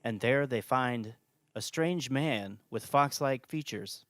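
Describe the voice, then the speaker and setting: A man's voice: mid-range voice, slow, fairly bright tone, no echo. A man hosting and narrating a scripted audio drama podcast.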